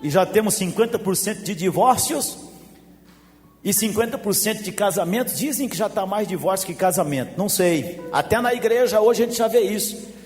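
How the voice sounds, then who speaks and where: A man, preaching a sermon as a pastor. He swings from shouts to quiet asides and jokes. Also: high-pitched, animated, moderate pace. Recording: long hall reverb with faint music.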